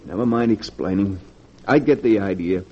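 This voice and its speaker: A man's voice: on the low side, moderate pace, slightly rough. An actor playing a desperate, broke male locksmith, strained and pleading, in a 1940s radio drama. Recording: dull vintage radio recording with music.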